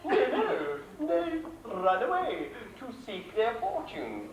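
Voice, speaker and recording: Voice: mid-range voice, wildly swooping pitch, slow. An actor playing a scheming guardian in an amateur pantomime, broad, mocking stage delivery from a theatre stage. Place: theatre stage with live audience. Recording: echoey theatre hall, audience, music.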